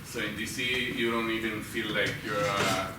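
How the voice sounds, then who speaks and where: A man with a Guatemalan Spanish accent and a lowish voice answers interview questions casually. His speech is halting, with words repeated. He sounds far from the mic in a heavily echoing, noisy venue. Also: steady tone. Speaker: interview guest, human rights lawyer. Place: live show venue with an audience of about fifty. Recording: roomy echo, distant mic, loud background noise.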